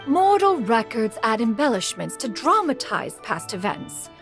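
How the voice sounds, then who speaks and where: Casting: grand, aloof female immortal, lively lofty delivery, formal speech, faint game music. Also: a little high, unhurried. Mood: haughty. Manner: formal, lofty, speaks of herself as "one". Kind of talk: video game voice acting.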